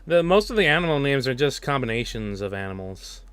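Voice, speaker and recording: Animated, bright-voiced man live streaming. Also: mid-range voice, brisk, clean tone, no room sound. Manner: animated, self-deprecating, talks to himself while working. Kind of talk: casual work stream chat while video editing.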